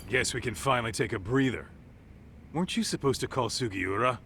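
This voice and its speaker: An actor playing a brash, jovial ex-gangster sidekick in a video game dub, with an American accent and a blunt, teasing manner. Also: male voice, mid-range voice, fairly quick, creaky, fairly bright tone, slight background noise. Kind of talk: English game dub acting, casual banter.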